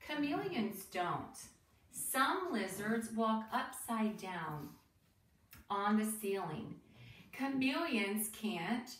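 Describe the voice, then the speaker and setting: A woman reading a children's book aloud like a teacher, expressive and deliberate, pausing for asides and questions to young listeners. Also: mid-range voice. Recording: roomy, distant mic with faint noise.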